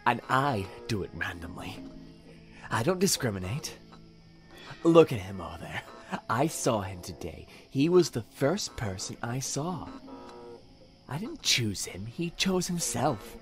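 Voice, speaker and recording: Serial killer villain, audio drama, self-righteous, menacing, highly varied pitch, dramatic score behind. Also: male voice, big pitch swings, faint music behind. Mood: taunting, menacing. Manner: theatrical, deliberate, taunting menace with whispered dips. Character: philosophical, sinister male serial killer, taunting and self-righteous.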